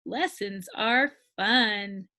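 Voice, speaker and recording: A woman voicing a boisterous, eager young boy shark in a storybook, excitable and emphatic. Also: medium pitch, extremely slow, heavily acted. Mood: happy. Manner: excitable, emphatic, sing-song rhyming. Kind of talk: storybook character voice.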